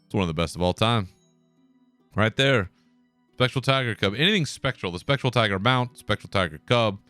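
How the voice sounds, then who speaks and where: Brief: male podcast host, smooth clear voice, relaxed solo chat, swears casually. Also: fairly low pitch, brisk, faint music behind. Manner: laid-back, swears, chuckles.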